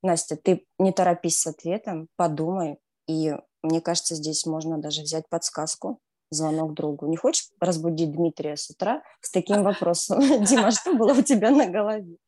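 A woman's voice: lowish voice, steady tone, normal speed, warm tone. A woman co-hosting a radio show who runs a quiz game in a teasing, upbeat way. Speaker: radio co-host, quiz presenter.

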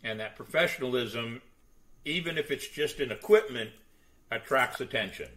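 Bright-voiced man hosting a chat. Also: mid-range voice, normal speed. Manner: explanatory, talks about having a big voice. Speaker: podcast host. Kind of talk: casual podcast chat.

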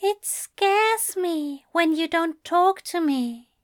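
A woman's voice: very high-pitched, slow, a bit bright, voice pushed up, full character voice. A woman voicing a prim, anxious male angel in an audiobook story, speaking in a precise, earnest and pleading manner. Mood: hurt, pleading.

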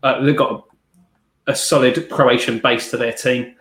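A man's voice: mid-range voice, fairly even intonation, moderate pace, a bit bright. A man talking football casually as a podcast panellist, in an informal, colloquial way. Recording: roomy, echoing sound.

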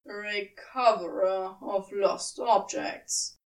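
A woman's voice: mid-range voice, steady tone, drawn-out words, recorded from a distance, low-quality recording. A woman voicing a cool, dry, teasing man with an arch, drawling manner in an audiobook story. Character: dry, teasing, cool male companion of an angel.

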